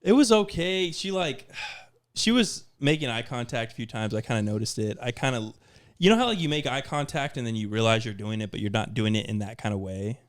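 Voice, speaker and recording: A man's voice: medium pitch, a little fast. A man in his late twenties, a podcast co-host telling stories in casual chat and acting out what people said. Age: 20s. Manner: animated storyteller, acts out quotes.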